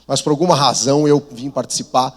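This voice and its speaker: A man's voice: mid-range voice, fairly even intonation, brisk. A man in his thirties from São Paulo with a Paulista accent, a missionary preaching in a chatty, self-deprecating way. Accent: Paulista Brazilian Portuguese. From São Paulo, Brazil. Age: 30s. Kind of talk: conversational sermon storytelling. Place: large church hall. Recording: long reverb of a church hall.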